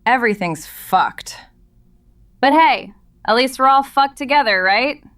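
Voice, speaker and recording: A woman's voice: fairly high pitch, expressive, a little slow, a bit bright, distant mic. A woman playing a blunt, sardonic, foul-mouthed gas station clerk in an audio drama. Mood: jaded.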